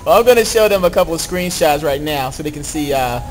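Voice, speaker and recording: A man's voice: a little high, expressive, quick pace, poor audio. A man hosting a podcast in a casual, chatty and playful way, as if introducing a friend. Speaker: podcast host. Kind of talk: casual chat introducing a guest.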